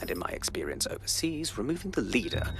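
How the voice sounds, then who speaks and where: A man playing a grave, guarded intelligence analyst in a video game, speaking in formal, measured phrases. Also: mid-range voice, big pitch swings, fairly bright tone, soft background music. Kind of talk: cinematic video game acting.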